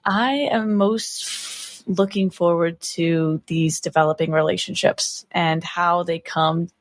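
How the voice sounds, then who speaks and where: A woman's voice: fairly low pitch, fairly even intonation, slow pace, no echo. A woman chatting casually after a tabletop game, speaking in a thoughtful, articulate way. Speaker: player on a tabletop role-playing podcast. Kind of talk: casual post-game discussion.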